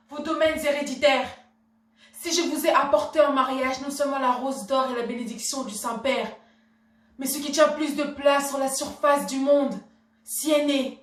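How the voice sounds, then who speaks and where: A woman's voice: medium pitch, a bit bright. An actress playing a proud, outraged Renaissance noblewoman in a theatrical monologue, imperious and declamatory in her formal address. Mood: furious, declaiming. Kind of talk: theatrical classical stage monologue. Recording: echoey room, distant microphone.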